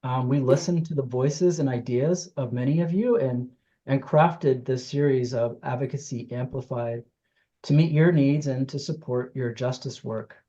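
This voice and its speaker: A host informally welcoming people to an online meeting, speaking haltingly and searching for words. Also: mid-range voice, mellow. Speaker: host, justice team director. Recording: dull, lo-fi meeting audio.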